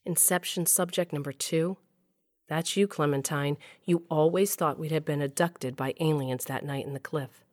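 A woman's voice: low-pitched, steady tone, moderate pace, darkish tone, no echo, studio quality, lightly acted. A woman playing a wry, middle-aged storyteller, narrating an audio drama in the first person with an intimate, confiding manner. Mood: wry, reminiscing.

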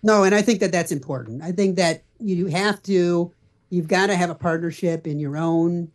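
A man's voice: high-pitched, normal speed. Earnest middle-aged man, interview guest. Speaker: podcast guest, parenting blogger. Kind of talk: conversational interview answers.

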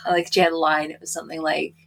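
A woman's voice: deep voice, completely flat delivery, very fast, gravelly. A playful, giggly woman casually chatting as co-host of a book podcast. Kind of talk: casual chat with asides.

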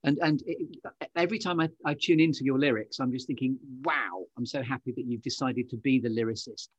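A man's voice: mid-range voice, a little fast, breathy edge, dry recording. A man hosting a podcast interview, speaking in an effusive, flowery, conversational way. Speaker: podcast host. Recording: dry, slightly dull lo-fi audio.

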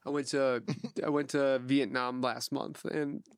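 A man's voice: medium pitch, flat delivery, unhurried, clear, resonant tone. A young man with a mid-range voice hosts a podcast in a loose, rambling manner, swearing casually and laughing a lot. His voice is recorded close and dry, with no room echo. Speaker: podcast host, producer.

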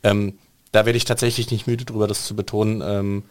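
A man co-hosts a podcast, pressing his points with enthusiasm. His voice sits fairly low. The recording is dry and close. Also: little pitch movement, fairly quick, dry recording. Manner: emphatic, enthusiastic. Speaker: podcast co-host. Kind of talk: casual podcast chat.

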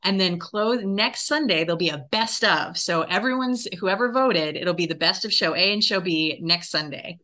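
A woman's voice: fairly low pitch, moderate pace, hardly any pauses, pushed and bright. A woman speaking conversationally as an interview guest, a theatre director explaining her work as she thinks aloud.

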